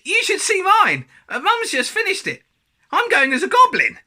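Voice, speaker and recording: A man voicing an excitable young schoolboy in a storybook reading, in a very high squeaky voice. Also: moderate pace, heavily acted. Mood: eager.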